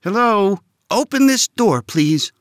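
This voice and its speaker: A man's voice: fairly low pitch, huge pitch swings, measured pace, husky, no room sound, studio quality, full character voice. A man voicing a bold, earnest young boy in a children's story, raising his voice to sound young and insistent. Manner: raised and insistent, confident.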